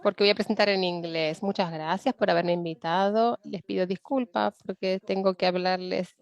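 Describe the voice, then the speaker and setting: Woman interpreter, mid-pitched, steady first-person delivery, dry sound. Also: moderate pace, gravelly, no echo, dull audio. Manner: steady first-person rendering of another speaker. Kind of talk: consecutive interpreting into Spanish.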